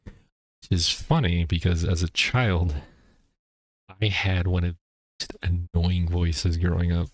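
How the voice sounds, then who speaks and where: A man's voice: deep voice, steady tone, takes it slowly, pauses often, no echo. A young man streaming a game, telling childhood stories in a rambling, laid-back way while he plays. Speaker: gameplay streamer. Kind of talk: casual chat while playing.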